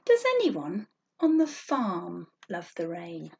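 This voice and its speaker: A woman reading a picture book aloud to young children, animated and sing-song, drawing out words and asking questions. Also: fairly low pitch, slow, vocal fry, husky, highs cut off. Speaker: storytime reader for young children.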